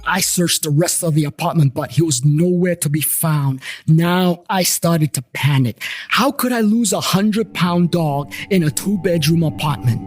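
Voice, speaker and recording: A man's voice: on the high side, moderate pace, soft background music. A man reading a scripted first-person story as its storyteller.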